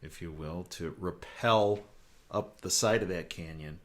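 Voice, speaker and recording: An American man hosting a current-affairs interview in a conversational style, with measured, emphatic phrasing. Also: low-pitched, fairly bright tone. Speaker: interview host.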